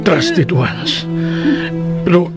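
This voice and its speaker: Volatile, possessive Filipino husband, wildly swinging pitch. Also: male voice, wildly swooping pitch, drawn-out words, creaky, exaggerated voice. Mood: wounded, pleading. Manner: theatrical, swinging from barked demands to pleading. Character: jealous, possessive young husband, volatile and childishly needy. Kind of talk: radio drama acting. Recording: loud dramatic music bed.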